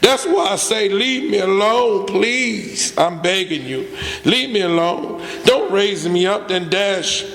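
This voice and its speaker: An actor playing a despairing, resigned young male prisoner with rough, uneducated speech in a radio drama. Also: high-pitched, expressive, slow pace, a bit bright, soft background music, full character voice. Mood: pleading, despairing. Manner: plain uneducated speech with double negatives. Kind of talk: old-time radio drama acting. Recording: echoey hall stage recording.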